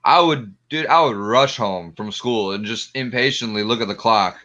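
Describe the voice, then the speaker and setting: Man, twenties, sharp bright voice, medium pitch, slangy casual storytelling, muffled lo-fi audio. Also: normal speed. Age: 20s. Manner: slangy, profane, enthusiastic. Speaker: podcast panelist. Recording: dull lo-fi sound.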